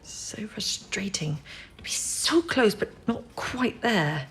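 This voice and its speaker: An actress playing a measured, modest female wartime codebreaker in an audio drama. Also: mid-range voice, animated, breathy edge, in a whisper, full character voice. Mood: intent.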